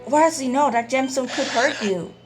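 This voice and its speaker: A woman's voice: sits high, normal speed. A woman reading dialogue aloud over video game play as a gameplay streamer. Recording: faint game music behind.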